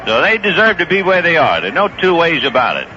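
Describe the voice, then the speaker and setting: A man calling a baseball game play by play on the radio, chatting easily about the players between pitches. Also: brisk, crowd noise, background noise. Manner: relaxed, conversational storytelling between pitches. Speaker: play-by-play announcer. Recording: dull lo-fi 1970s radio broadcast.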